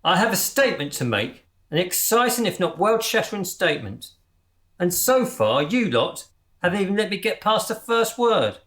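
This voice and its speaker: Man acting grandiose crew leader, pompous, impassioned speeches, lively swooping pitch, radio comedy. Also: normal speed. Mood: indignant. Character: grandiose male leader of amateur crop-circle crew.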